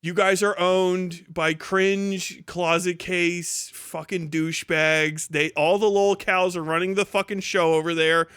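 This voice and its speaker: Man in his thirties, high-pitched voice, profane mocking livestream rant, animated delivery, close dry mic. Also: measured pace, hardly any pauses, clean tone, dry recording. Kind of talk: casual livestream rant to chat.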